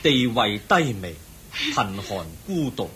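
An actor with a Hong Kong Cantonese accent voicing a calm, patient Buddha who gently teaches. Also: male voice, on the low side, slow, very noisy. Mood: admonishing. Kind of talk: Cantonese radio drama acting.

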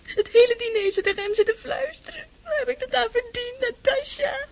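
A woman's voice: extremely high pitch, brisk, gravelly, background noise. A woman playing a lovesick, hurt young girl in a radio play, crying as she speaks. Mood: sobbing, hurt.

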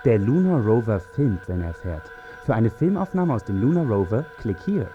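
Man, declamatory explainer, radio drama, lively intonation, muffled sound, music behind. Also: fairly low pitch, normal speed. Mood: explanatory. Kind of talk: experimental radio drama recitation.

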